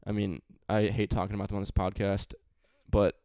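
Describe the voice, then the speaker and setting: A man's voice: fairly low pitch, level tone, fairly quick, a little mellow, clear, resonant tone, no echo. A man hosting a casual sports podcast, chatty and joking, with frequent fillers. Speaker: podcast host.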